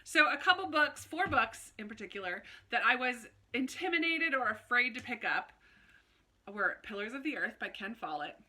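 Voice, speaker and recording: Super bright chatty lady doing a book vlog, kinda high voice, casual n animated, goes off on little asides. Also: moderate pace. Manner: animated, informal, self-interrupting asides. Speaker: book review video host. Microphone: phone camera recording.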